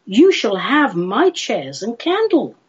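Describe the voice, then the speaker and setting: Woman voicing a high, pleading, lovelorn little fellow. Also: wildly swooping pitch, breathy edge, heavily acted. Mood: pleading, lovestruck. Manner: theatrical, pleading, drawn-out phrases. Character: lovelorn little male nonsense creature, earnest romantic suitor. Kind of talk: dramatic comic poetry recital. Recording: dull lo-fi recording.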